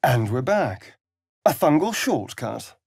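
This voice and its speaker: An actor playing a sly, vain vampire rogue in a video game, with a silky, theatrical, mocking drawl. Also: male voice, medium pitch, big pitch swings, slow, gravelly, a little mellow, breathy edge, no echo, clean studio sound, exaggerated voice. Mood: sardonic, amused. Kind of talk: video game character voice lines.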